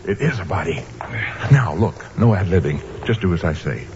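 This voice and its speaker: An actor playing a brisk, wry homicide detective in an old-time radio mystery, gruff and commanding. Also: male voice, low-pitched, animated. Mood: tense. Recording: dull noisy old radio recording.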